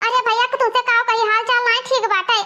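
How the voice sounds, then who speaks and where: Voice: very high voice, little pitch movement, fast, bright, forceful tone, heavily acted. A performer with an Awadhi accent voicing a quarrelsome, whining married village woman in a cartoon comedy, complaining and scolding. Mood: aggrieved, complaining.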